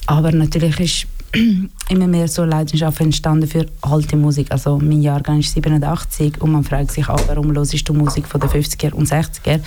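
A woman's voice: low-pitched, little pitch movement, fairly quick, dark tone, slight background noise. A woman in her thirties with an Italian accent, a guest DJ on a radio show, chatting casually with a few hesitations and frequent laughs. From born in Bosnia, raised in Ticino, Switzerland. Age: 30s. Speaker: studio guest DJ.

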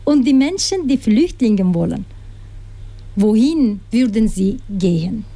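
A woman presenting a community radio show, announcing slowly and carefully with small slips. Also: fairly high pitch, expressive, dark tone. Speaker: radio host.

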